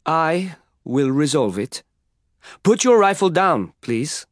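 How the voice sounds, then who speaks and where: A man voicing a calm, firm young male customs officer in an audiobook, who speaks in formal, measured commands. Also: on the high side, dry recording, studio quality. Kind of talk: audiobook character voice.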